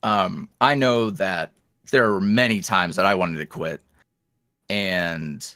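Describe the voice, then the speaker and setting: A man, an ASMR roleplay creator, chatting casually as a guest in an interview, animated and self-deprecating with plenty of fillers. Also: deep voice, slow, no echo, clean studio sound.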